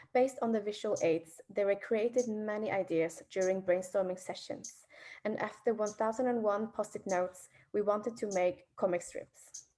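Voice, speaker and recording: A young woman from Vietnam, presenting her research in a careful, measured way. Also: medium pitch, mellow. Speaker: student presenter.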